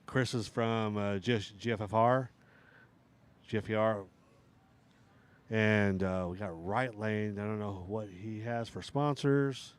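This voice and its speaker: A middle-aged man with a hoarse voice, casually commentating a live outdoor RC drag race. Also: on the low side, takes it slowly. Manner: casual, rambling banter with restarts.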